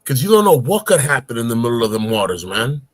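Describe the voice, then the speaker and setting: A man's voice: mid-range voice, sing-song, quick pace, dry recording. A man in his forties, a guest on a casual talk show, telling stories with energy and acting out grunts and voices. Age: 40s.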